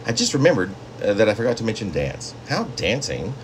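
A man's voice: lowish voice, very animated, fairly quick, a little hiss or hum, rough recording. A man in his fifties talking to camera in a conversational, rambling way, like a poet sharing his own work at home. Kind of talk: casual talk and poetry reading to camera. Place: small indoor home room.

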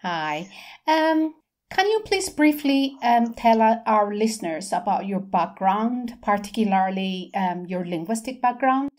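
A middle-aged woman whose first language is Amharic hosts a podcast, asking calm, measured questions into a studio microphone. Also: mid-range voice. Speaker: podcast host. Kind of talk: interview hosting. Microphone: studio mic with headphones.

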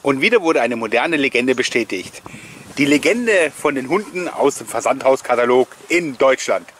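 A man gives an informal voice-over in the manner of a host sharing memories with his viewers. He rambles amiably through anecdotes at a middle pitch and tends to chuckle. There is some audible background noise behind him. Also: lively intonation, normal speed. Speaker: host of a collectors' nostalgia video channel. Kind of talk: chatty voice-over commentary with personal anecdotes.

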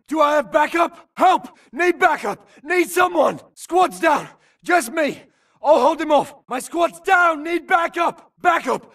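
Shouting, high-strung infantry soldier. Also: male voice, vocal fry, distant mic, heavily acted. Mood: desperate. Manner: clipped, urgent military radio jargon. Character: tense male futuristic infantry soldier calling out enemies. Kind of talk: shouted video game combat barks.

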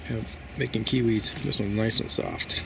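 A man's voice: lowish voice, steady tone, unhurried, vocal fry. A man giving a casual walkthrough of his backyard garden, talking in a rambling, folksy way as he thinks aloud. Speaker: home gardener. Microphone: handheld phone camera. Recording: faint outdoor background noise.

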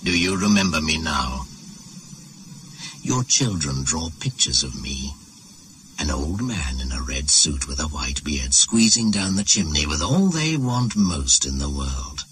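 Very deep, ominous ancient shaman spirit. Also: sharp tone, slight background noise, heavily acted. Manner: slow, incantatory, ominous. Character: ancient, menacing shaman spirit behind Santa Claus. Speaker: radio drama actor. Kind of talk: 1980s radio drama monologue. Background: music.

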